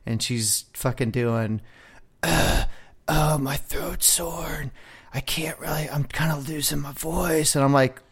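A man's voice: on the high side, a little slow, breathy edge. A man hosting a podcast, telling a rambling story in casual chat with a lot of swearing. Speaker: podcast host.

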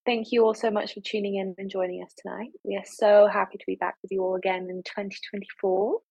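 A dry, slightly lo-fi recording of a woman opening a religious teaching programme from a script. Her voice is husky and sits at a middle pitch. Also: flat delivery, quick pace, dark tone, dry recording. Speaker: host of a religious teaching series. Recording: dry, slightly dull sound.

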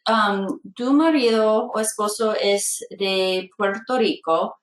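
A woman's voice: medium pitch, takes it slowly, roomy sound, poor audio. A woman hosting a Spanish-practice podcast, speaking hesitantly with many fillers and laughing often. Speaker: podcast host. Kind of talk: slow learner-level Spanish podcast chat.

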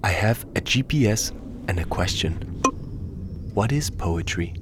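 A man from Denmark, a native Danish speaker, giving calm, reflective narration for a radio documentary. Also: fairly low pitch, a little slow, heavy background noise.

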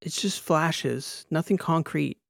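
A man voicing a strained, defiant female lieutenant in an audiobook, answering in halting, clipped phrases. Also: mid-range voice, fairly even intonation, vocal fry, no echo, clean studio sound. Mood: frustrated. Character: strained, defiant female army lieutenant recalling combat drop.

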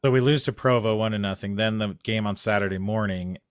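A man in casual chat, telling a long story that gets louder and more animated as it goes. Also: fairly low pitch, a little fast, smooth, no room sound, clean studio sound. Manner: animated storytelling, rising loud when excited.

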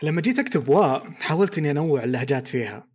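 A man's voice: on the high side, expressive, a little mellow, husky. A young man co-hosting a podcast, joking and teasing in casual chat. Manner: joking, fast-talking, teasing. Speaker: podcast co-host.

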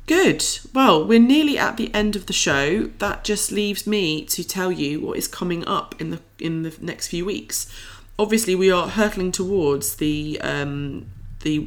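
A woman co-hosting a podcast, chatting in an informal, enthusiastic way. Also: lowish voice. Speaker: podcast co-host. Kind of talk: casual scripted-free podcast chat.

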